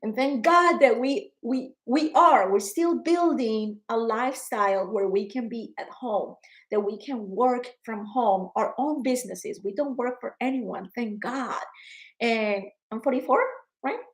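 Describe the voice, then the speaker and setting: A woman in her forties speaks to the camera in a personal video diary, her voice slightly higher than most. She talks earnestly and emotionally, doubling back on words and rising in energy when something frustrates her. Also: measured pace. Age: 40s. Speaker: vlogger. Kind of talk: personal vlog talking to camera.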